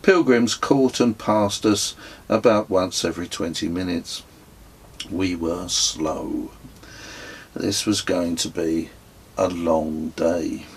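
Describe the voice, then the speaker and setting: A middle-aged man reading his own travel memoir as scripted narration, in a measured, reflective way, with a husky voice. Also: fairly low pitch.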